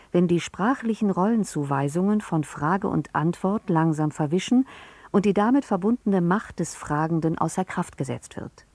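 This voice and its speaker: A woman's voice: on the low side, dark tone, no room sound. A woman reading a scripted introduction as a radio narrator.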